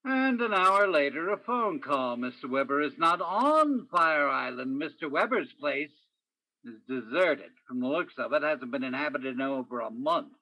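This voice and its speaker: A man performs a world-weary radio-play detective with a bright, forceful voice. He tells his case dramatically, in terse hardboiled lines that turn poetic and moody, like a 1950s noir broadcast. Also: on the high side, very animated, normal speed, poor audio. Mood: brisk, businesslike.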